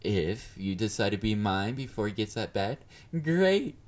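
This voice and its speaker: A man's voice: on the low side, very animated, unhurried, a little mellow. A man playing a confident, obsessive, flirty yandere fan in an intimate audio roleplay, teasing and smug as he speaks to his beloved. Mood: smug, possessive. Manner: teasing, smug, mock-considerate, murmured endearments.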